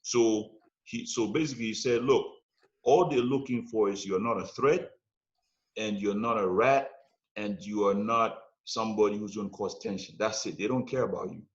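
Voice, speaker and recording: A man with a fairly low voice tells a long personal story in a loose, animated way, constantly checking in with his listeners. The recording sounds roomy and a bit muffled, like a homemade podcast. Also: normal speed. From United States. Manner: animated, street-talk storytelling with frequent "you know what I'm saying". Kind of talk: casual storytelling chat.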